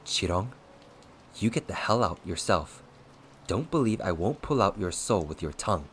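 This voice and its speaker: A man voicing a grim, forceful crown prince with a menacing edge in an audiobook story. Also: deep voice, very animated, normal speed, a little hiss or hum. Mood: foreboding threat. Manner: forceful, foreboding.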